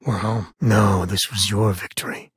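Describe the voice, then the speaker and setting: An actor voicing an easygoing space-western ranger and devoted father in a video game, with a husky voice and a folksy, wry, conversational manner. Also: on the low side, steady tone, a little slow, dry recording, clean studio sound. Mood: relaxed, sincere. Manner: folksy, wry, casual drawl of phrasing.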